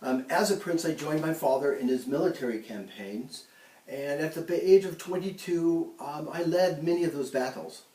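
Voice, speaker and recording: A man plays a proud ancient pharaoh who boasts in a halting way, with many ums and restarts. The voice sits fairly high for a man. It sounds roomy and a little far from the mic. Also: dark tone, natural voice. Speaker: guest in a mock interview. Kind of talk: amateur school-style role-play interview. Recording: echoey room, voice from a distance.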